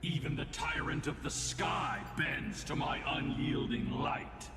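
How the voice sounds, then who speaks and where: An actor playing a solemn, imperious godlike being in a game cutscene, making grandiose proclamations. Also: male voice, fairly high pitch, slow pace, recorded from a distance. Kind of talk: scripted game cutscene acting. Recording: echoey hall reverb, loud orchestral music behind.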